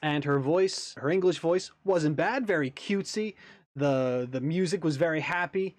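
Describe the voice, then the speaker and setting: A man's voice: a little high, normal speed, no echo, studio quality. A man giving casual reaction commentary as a video host, with easygoing, hesitant phrasing.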